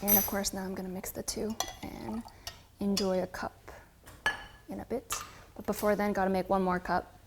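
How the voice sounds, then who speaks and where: A woman's voice: medium pitch, flat delivery, moderate pace, noisy background. A woman in her twenties with an American accent, telling her own story casually to camera in a chatty, reflective way. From United States, California. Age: 20s. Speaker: coffee content creator and barista.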